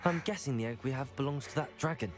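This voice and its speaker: An actor playing a polite, composed young student of magic in a video game. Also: male voice, medium pitch, fast talker, music in the background, natural voice. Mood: practical. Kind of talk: video game cutscene acting.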